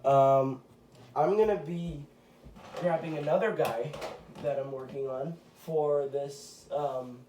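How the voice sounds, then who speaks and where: A man's voice: a little high, fairly even intonation, slow pace, a little mellow, a little hiss or hum, poor audio. A young man with a stuffy, congested voice from a cold, casually showing off a custom toy figure with lots of ums and self-corrections. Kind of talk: casual show-and-tell. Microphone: phone camera.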